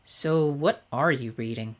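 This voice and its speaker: A woman's voice: extremely low pitch, a little slow, voice pushed down. A woman voicing a casual, supportive teenage girl with a laid-back manner in a story.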